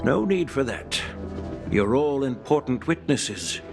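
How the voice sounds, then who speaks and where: Stern, measured old general. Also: male voice, mid-range voice, very animated, vocal fry, over music, exaggerated voice. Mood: grave, frank. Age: older. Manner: measured, formal. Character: stern, weathered old general, loyal and frank. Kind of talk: video game voice acting.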